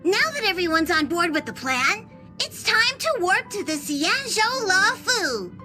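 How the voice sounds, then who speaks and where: A woman's voice: very high voice, big pitch swings, a little slow, heavily acted. A woman voicing a small, chirpy creature who works as a train conductor, announcing departure in a game cutscene over music.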